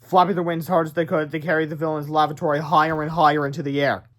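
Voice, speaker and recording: A man reading a children's book aloud as storyteller, animated, with casual asides and stumbles over words. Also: on the high side, fast.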